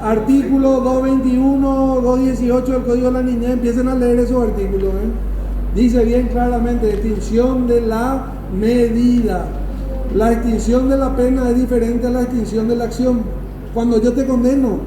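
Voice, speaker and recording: A male law professor with a Paraguayan accent and a very high-pitched voice teaches a class. He repeats key points emphatically and checks that students follow. The recording is echoey and noisy, picked up from across the room. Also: level tone, normal speed, distant mic. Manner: didactic, emphatic repetition, checks understanding. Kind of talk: classroom lecture with questions to students.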